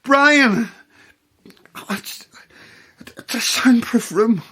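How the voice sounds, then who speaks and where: A woman with an Irish accent playing a frightened but commanding late-night radio host in a naturalistic horror audio drama, urgent and halting. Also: on the high side, pushed and bright, husky, heavily acted. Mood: panicked. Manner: urgent, halting, gives orders.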